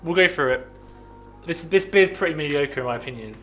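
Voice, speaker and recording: A young man chats casually on a homemade podcast, joking and laughing between lots of ums. His voice sits a little higher than most men's. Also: animated, fairly quick, fairly bright tone, soft background music. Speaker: podcast co-host. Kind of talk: casual chat over beer.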